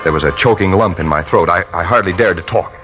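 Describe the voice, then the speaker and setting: An actor playing a haunted, desperate man on the run in an old radio noir, with tense, halting delivery. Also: low-pitched, lo-fi sound, close to an everyday voice. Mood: brooding, tense. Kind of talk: 1940s-50s radio noir acting, confessional narration and dialogue. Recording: vintage radio drama with loud music.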